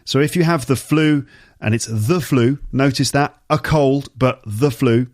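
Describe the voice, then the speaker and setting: A man with a slight cold, teaching vocabulary on his podcast in a chatty way, repeating key words to make them clear. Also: medium pitch, a little slow, darkish tone, dry recording, clean studio sound. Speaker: English teacher and podcast host. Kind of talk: casual explanatory podcast monologue for learners.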